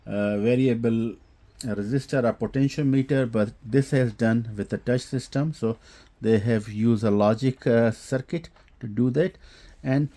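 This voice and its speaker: Male, mellow dark tone, halting delivery, repeats himself, unscripted technical demo host. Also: mid-range voice. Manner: halting, repetitive explaining with frequent hesitations. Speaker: host demonstrating audio equipment. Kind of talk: unscripted technical product demonstration.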